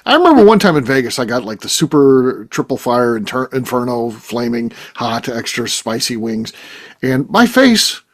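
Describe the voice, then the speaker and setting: A man's voice: medium pitch, very animated, moderate pace. A middle-aged man hosting a casual roundtable chat, jokey, with frequent restarts and fillers. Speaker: host.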